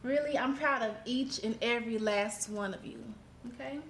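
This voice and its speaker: A woman's voice: a little high, moderate pace, natural voice. A woman playing a supportive group leader, speaking in an affirming, emphatic way. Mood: proud, encouraging. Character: supportive, encouraging female leader of a group session. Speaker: group facilitator. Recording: echoey room with faint background noise.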